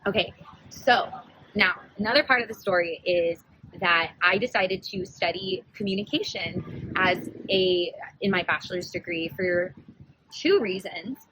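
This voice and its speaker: A woman's voice: lowish voice, a little slow, bright, forceful tone, a little hiss or hum, rough recording. A young woman in her twenties, hosting a podcast and telling a personal story in a chatty, rambling way, often correcting herself and laughing. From United States. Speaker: podcast host.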